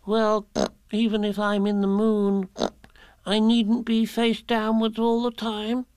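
A man's voice: monotone, takes it slowly, a little mellow, clear, resonant tone, no room sound. A man voicing a small, timid male piglet in a storybook read-aloud. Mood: bewildered. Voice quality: squeaky, anxious.

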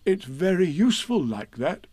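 A man's voice: sits high, big pitch swings, normal speed, mellow, husky, no room sound, pitched above the performer's own voice, heavily acted. A man reading a storybook, voicing a small, humble toy bear who speaks in an earnest, guileless way. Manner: earnest, plain-spoken, guileless.

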